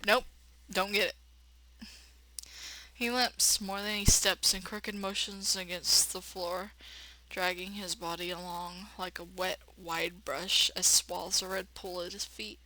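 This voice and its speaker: Woman, let's play host, slightly low bright voice, dramatic reading, slangy asides. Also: level tone, slow pace, dry recording, rough recording. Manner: slangy, irreverent. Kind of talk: dramatic game reading with casual joking commentary.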